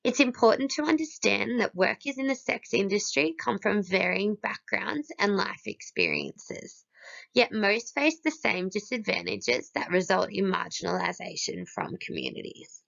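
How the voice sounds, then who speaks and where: Hoarse, gravelly woman with a cold. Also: medium pitch, moderate pace. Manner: steady, listing one item after another. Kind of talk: read-aloud presentation. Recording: dull lo-fi recording.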